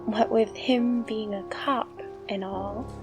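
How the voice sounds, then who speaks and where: A woman's voice: on the high side, steady tone, slow pace, music in the background, poor audio. An actress playing a wry, affectionate woman in an audio drama, speaking intimately and without hurry. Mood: wry, tender.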